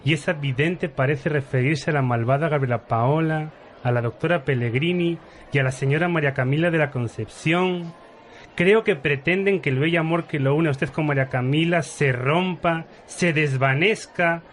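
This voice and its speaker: A man playing a formal, alarmed gentleman ally in a parody radio soap opera, speaking melodramatically. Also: a little high, normal speed, slight background noise, lightly acted. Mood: grave concern. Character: grave, alarmed gentleman ally explaining dark conspiracies.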